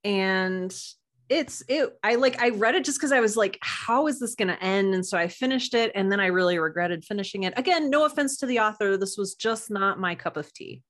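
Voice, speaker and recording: A woman's voice: mid-range voice, animated, fairly quick, fairly bright tone. A woman chatting casually and informally as an interview guest, with emphatic little asides. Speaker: podcast guest, author.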